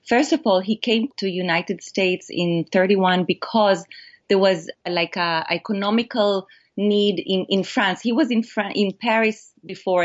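A woman with an Israeli accent, a documentary filmmaker answering interview questions in earnest, animated storytelling. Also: mid-range voice, highs cut off.

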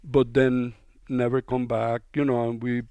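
Radio guest, man from Cuba, smooth and resonant, relaxed talk, dry close mic. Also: medium pitch, measured pace, dry recording. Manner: relaxed, conversational, with fillers. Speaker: documentary filmmaker guest. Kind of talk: radio interview.

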